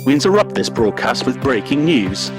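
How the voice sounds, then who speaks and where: A man playing a formal, deadpan newsreader in a parody breaking news bulletin on a radio play. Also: mid-range voice, normal speed, music in the background, natural voice. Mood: grave, deadpan.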